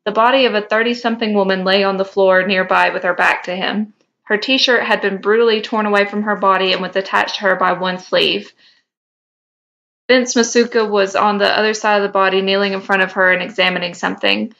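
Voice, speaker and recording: A woman's voice: medium pitch, fairly even intonation, brisk, creaky, a bit bright, muffled recording. A woman co-hosting a podcast, reading a story aloud and now and then stumbling over a word. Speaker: podcast co-host. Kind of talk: reading fan fiction aloud.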